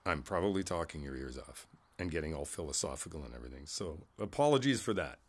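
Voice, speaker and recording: A man playing a kindly, philosophical older neighbor in an ASMR roleplay, talking in an easygoing, folksy, rambling way with thoughtful pauses. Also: extremely low pitch, lively intonation. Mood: reflective, earnest. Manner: folksy, rambling, pausing, chuckling between thoughts. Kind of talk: ASMR roleplay, one-sided conversational monologue.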